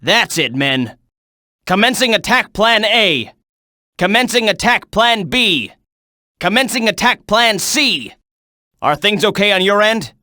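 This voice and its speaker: An actor voicing a military soldier in a video game, giving urgent, clipped combat reports and orders. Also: male voice, high-pitched, sing-song, a little slow, sharp tone, no echo, clean studio sound, exaggerated voice. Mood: grim, resolute, then brisk, businesslike. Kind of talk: video game battle voice clips.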